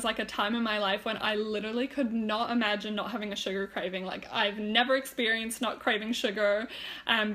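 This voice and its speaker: A woman's voice: medium pitch, fairly bright tone. A woman whose first language is German, chatting casually and rambling to viewers on a live stream, with frequent fillers and restarts. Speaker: wellness vlogger.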